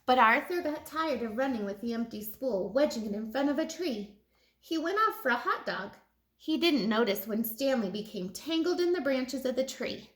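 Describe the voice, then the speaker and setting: A woman narrates a children's story as a read-aloud. Her voice sits high. She moves widely in pitch as she tells it, in an expressive storytelling style. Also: moderate pace, reverberant. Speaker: storybook reader.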